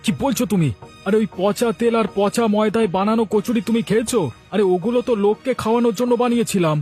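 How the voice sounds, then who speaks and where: Guy doing a worked-up crooked village shopkeeper in a cartoon, high voice, earnest, music behind. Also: fast talker, darkish tone, lightly acted. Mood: alarmed, scolding. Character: excitable, repentant cheating village shopkeeper. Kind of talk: Bengali moral cartoon acting.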